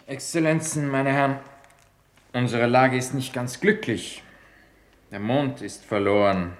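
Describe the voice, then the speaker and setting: A man playing a regretful, ceremonious government minister, speaking in formal, deliberate phrases in a 1950s radio drama. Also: medium pitch, lightly acted.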